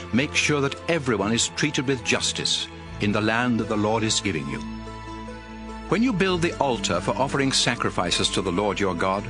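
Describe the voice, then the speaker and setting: A man playing a solemn prophet lawgiver in a dramatized audio Bible, addressing his people with emphatic, deliberate, instructive phrasing. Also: mid-range voice, close to an everyday voice. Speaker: scripture narrator.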